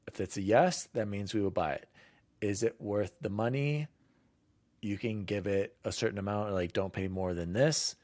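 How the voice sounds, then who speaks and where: A man with a fairly low voice runs a casual gaming podcast. He talks loosely and upbeat and laughs easily. The sound is dry and close, with no room around it. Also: normal speed, a little mellow, no room sound. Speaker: podcast host.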